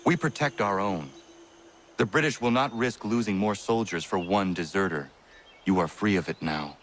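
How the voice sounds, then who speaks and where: A male actor playing a stoic young colonial assassin from a video game. His voice is low and his speech stiff and formal, with faint outdoor noise behind him. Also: normal speed, lightly acted. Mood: resolute, formal. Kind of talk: video game character acting.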